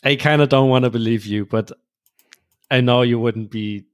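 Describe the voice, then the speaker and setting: A man co-hosting a casual tech chat, relaxed and amused, laughing often. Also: mid-range voice, normal speed. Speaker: co-host.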